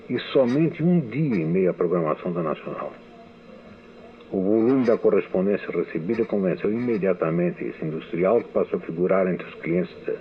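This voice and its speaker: Older man, very low, tinny archival radio. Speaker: radio writer giving a testimony. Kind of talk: reading a memoir testimony.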